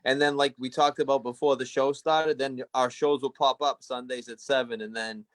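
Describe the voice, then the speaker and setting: A man's voice: medium pitch, fairly even intonation, normal speed. A man with a Boston accent co-hosting a live stream, upbeat and encouraging. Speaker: co-host. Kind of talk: casual live-stream chat.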